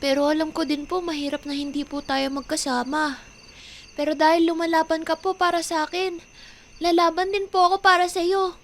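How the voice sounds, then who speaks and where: A woman's voice: very high voice, steady tone, fairly quick, slight background noise. A woman voicing a sick, brave young teenage daughter in a sentimental audio drama, tender and childlike, with a Filipino accent.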